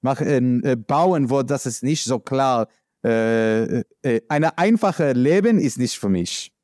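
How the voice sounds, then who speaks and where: A man from the United States with an American accent, speaking in a halting, searching way with many fillers, answering as an interviewee. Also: medium pitch, lively intonation, darkish tone, no room sound, clean studio sound. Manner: halting non-native speech with many fillers. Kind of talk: conversational interview.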